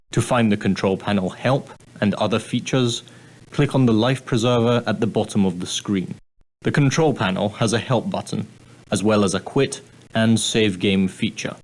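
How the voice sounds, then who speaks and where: Casting: male actor for prim male ship's steward, game role. Lowish voice, formal, deferential, precise, dryly polite. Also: lightly acted. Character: prim, proper, straight-laced ship's steward on an ocean liner. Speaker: video game character. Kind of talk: scripted game dialogue, giving guidance.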